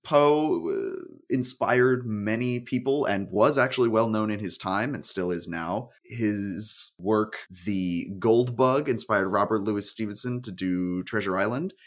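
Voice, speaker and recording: A man with a fairly low voice co-hosts a relaxed, chatty podcast. He laughs easily and tends to wander off into tangents as he talks. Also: a little slow, few pauses. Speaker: podcast co-host. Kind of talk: casual chat.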